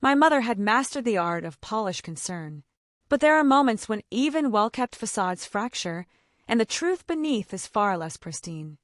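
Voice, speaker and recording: A woman's voice: on the high side, big pitch swings, moderate pace, no echo, clean studio sound. A woman delivering dramatic first-person scripted narration for an audio story.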